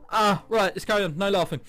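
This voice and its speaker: A man doing a let's play of a visual novel, reading aloud and reacting in a giggly, excitable way. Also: high voice. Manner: giggly, excitable, often breaking into laughter while reading. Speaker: video game commentator. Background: game background music.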